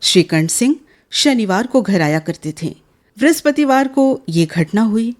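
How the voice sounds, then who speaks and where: A woman's voice: fairly high pitch, expressive, unhurried, over music. A woman narrating a radio play in a dramatic, scripted storytelling style.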